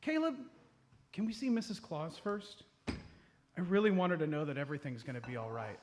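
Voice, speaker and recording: A man's voice: fairly high pitch, animated, moderate pace, close to an everyday voice. A man playing an eager, bungling upbeat amateur detective in a live comedy radio play. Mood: confident, helpful. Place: live on stage at a comedy club. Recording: noisy live comedy stage recording.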